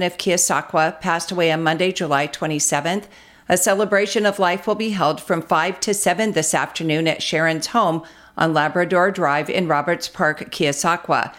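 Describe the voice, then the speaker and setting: Female radio news announcer, American, on the lower side, scripted delivery. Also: a bit bright. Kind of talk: scripted local news reading.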